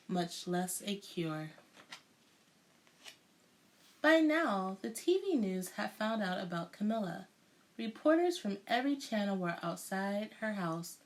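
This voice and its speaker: Woman doing a kids read-aloud, really lively up and down pitch, takes her time. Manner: deliberate, dramatic storytelling delivery. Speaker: storyteller reading a picture book aloud. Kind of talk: expressive children's read-aloud narration.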